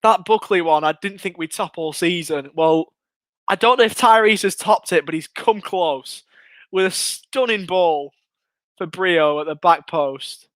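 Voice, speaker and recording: A young English man hosting a live fan watchalong, commentating on a match and reading out viewer comments, excitable and chatty, his voice going hoarse. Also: high voice, a little slow, no room sound. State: losing his voice.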